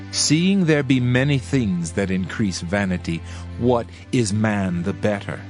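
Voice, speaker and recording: A man giving a dramatized scripture reading in a solemn, measured, rhetorical delivery. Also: fairly low pitch. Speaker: scripture narrator. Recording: background music under the voice. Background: music.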